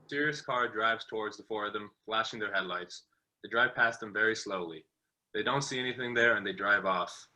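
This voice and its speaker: A man's voice: lowish voice, a little fast, bright, forceful tone, low-quality recording. A man reading scripted narration as the narrator of an amateur table read.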